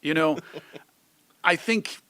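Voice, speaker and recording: A middle-aged man giving a reflective, conversational interview with wry, self-deprecating humor, often halting as he thinks aloud. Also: on the high side, pushed and bright, dry recording. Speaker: radio show host being interviewed.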